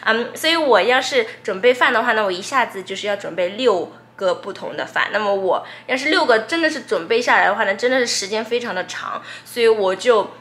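A woman's voice: a little high, lively intonation, fairly quick, hardly any pauses, fairly bright tone. A young woman, a nurse, chatting casually to a camera held at arm's length in her home kitchen, laughing easily and using many fillers. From Canada. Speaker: nurse vlogger. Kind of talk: casual vlog talk to camera.

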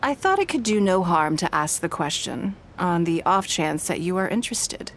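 An actress playing a poised, wealthy noblewoman in a game, speaking in a measured, formal and gracious way. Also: on the low side, animated, a bit bright, a little hiss or hum. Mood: composed. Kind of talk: game voice acting.

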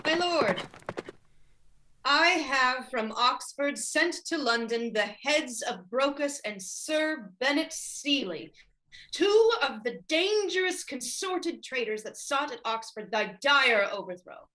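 Dutiful lord, high bright voice, slow pace, woman acting. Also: lively intonation, heavily acted, poor audio. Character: lord reporting executed traitors. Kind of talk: Shakespeare reading over video call.

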